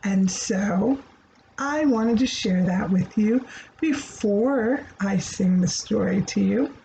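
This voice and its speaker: A woman storyteller explaining things to children in a simple, teacher-like way, deliberate and repetitive, checking that they understand. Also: medium pitch, creaky, dark tone, a little hiss or hum, low-quality recording. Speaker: children's read-aloud storyteller.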